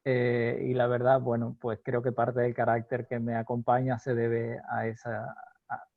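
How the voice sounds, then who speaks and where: A Cuban man from Holguín, a law professor, answering interview questions in a measured, hesitant way, with many fillers and frequent laughs. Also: medium pitch, completely flat delivery, no room sound, phone-quality sound. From Cuba, Holguín.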